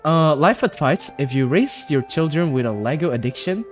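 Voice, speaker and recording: A man's voice: on the high side, expressive, moderate pace. Chatty streamer man reacting to a game. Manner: chatty, reacts aloud, addresses chat. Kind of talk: casual gaming commentary. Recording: game music playing behind.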